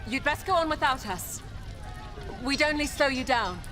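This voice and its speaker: A woman's voice: sits high, expressive, normal speed, music in the background. A woman voicing a headstrong, curious young princess in an audio adventure game. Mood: earnest, challenging. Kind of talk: dramatic audio game acting.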